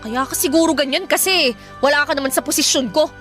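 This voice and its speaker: A sharp, high-voiced defiant elder sister. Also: female voice, fast, soft background music. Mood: resentful, scolding. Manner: scolding, sarcastic, blunt. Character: defiant, irritable elder sister, hard-up single mother. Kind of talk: radio drama acting.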